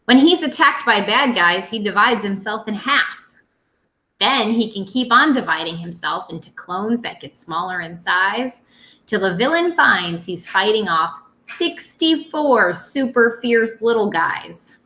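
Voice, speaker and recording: A woman teacher reading aloud to young children in an expressive, playful, dramatic way. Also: medium pitch, measured pace, roomy sound, poor audio.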